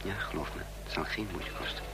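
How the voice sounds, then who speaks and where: An actor playing a cool, wry spy who narrates and acts in a radio play with a composed, instructing manner. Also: male voice, deep voice, quick pace, over music. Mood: hushed, instructing. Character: cool, wry male spy narrating his own adventure.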